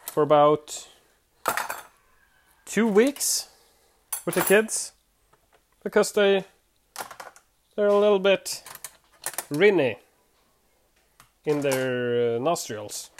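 A podcast host from Sweden, a native Swedish speaker with a Swedish accent, talking casually in English and often pausing to search for words. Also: mid-range voice, a little hiss or hum.

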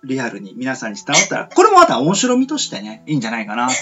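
Male host, expressive intonation, polite rambling talk, muffled lo-fi sound. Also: medium pitch, very fast, slightly rough. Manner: polite, rambling, hesitant phrasing. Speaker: radio show host. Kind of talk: casual interview and sign-off chat. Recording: muffled lo-fi with faint music.

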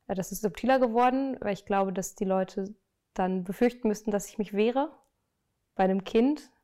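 A young German woman answering interview questions in a thoughtful, earnest way, often pausing on fillers. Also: mid-range voice, dark tone. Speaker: interview guest. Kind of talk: reflective conversational interview.

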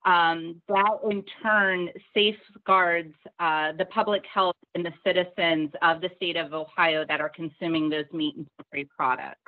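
A woman guest on an interview podcast, explaining things in a measured, formal way. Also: fairly low pitch, steady tone. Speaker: government official, podcast guest. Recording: thin phone-like narrow-band audio.

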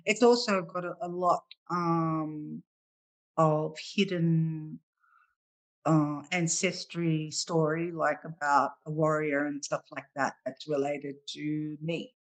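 An Australian woman, the guest author, talking through her book in a loose, halting way, with plenty of fillers. Her voice is quite low for a woman. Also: rough recording. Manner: animated, hesitant, many fillers. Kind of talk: casual interview chat.

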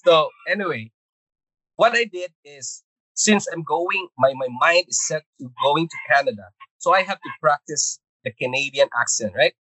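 A man's voice: fairly high pitch, animated, moderate pace, pushed and bright, no echo, poor audio. A middle-aged Filipino man with a Filipino accent, a podcast guest talking casually and hesitantly, with many fillers, restarts and easy laughs. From Philippines. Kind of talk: casual conversational interview.

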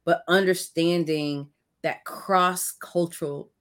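A woman's voice: lowish voice, steady tone, slow pace, fairly bright tone. An American woman hosting an interview podcast, building her questions thoughtfully with many fillers. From United States. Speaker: podcast host. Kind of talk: casual interview conversation.

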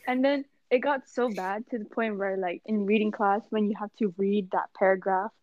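A woman's voice: mid-range voice, steady tone, normal speed, dry recording, highs cut off. A young woman co-hosting a casual podcast, rambling through a story with lots of "like" and acting out other people's lines. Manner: rambling, full of "like", acts out voices in anecdotes. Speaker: podcast co-host.

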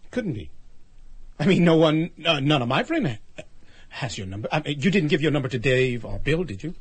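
A bewildered, anxious ordinary man in a radio drama. He stammers in disbelief, his husky voice rising and falling sharply. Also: a little high, very animated, fairly quick. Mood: disbelieving.

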